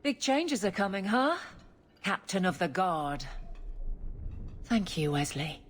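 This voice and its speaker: A woman's voice: mid-range voice, sing-song, slow pace, a little hiss or hum. A woman playing a steadfast, no-nonsense guard officer in a fantasy game, speaking firmly and earnestly. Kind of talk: dramatic game cutscene acting.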